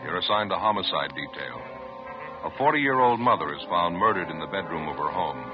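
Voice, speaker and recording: A man's voice: low voice, expressive, brisk, over music. An American man announcing in the formal, emphatic scripted style of 1950s radio. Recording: dull old radio recording.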